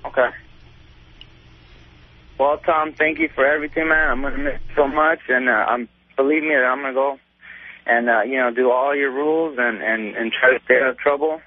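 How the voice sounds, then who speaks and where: A man's voice: medium pitch, steady tone, normal speed. A man phoning a radio show, speaking in a hesitant, deferential way. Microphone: telephone. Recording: narrow, tinny phone line.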